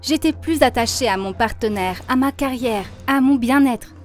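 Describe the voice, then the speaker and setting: A woman's voice: on the high side, normal speed, close to an everyday voice. A woman voicing a devout, grateful young woman in a cartoon, speaking earnestly and solemnly. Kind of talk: dubbed cartoon monologue, testimony. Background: faint background music.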